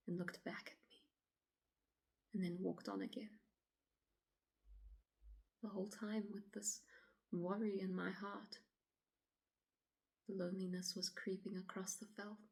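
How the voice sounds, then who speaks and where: An actress plays a shaken city woman giving her account to the police in a stage monologue. Her lowish voice is halting and often hushed to a breathy near-whisper, pleading to be believed. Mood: anxious, dread.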